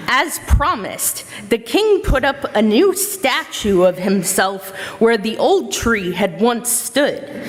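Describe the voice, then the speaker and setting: A woman narrates a storybook tale for readers theater. Her voice is fairly high and very expressive, taking its time, with the long reverb of a hall.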